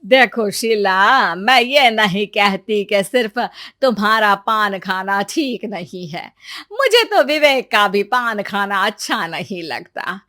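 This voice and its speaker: A woman voicing a nagging, sly older mother-in-law in an animated family comedy, with drawn-out, reproachful phrasing. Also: medium pitch.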